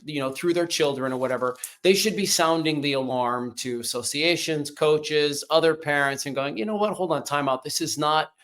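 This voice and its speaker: A man's voice: a little high, a bit bright. Man hosting, emphatic and encouraging. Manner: emphatic, encouraging, quick affirmations. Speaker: podcast host. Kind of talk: casual interview chat.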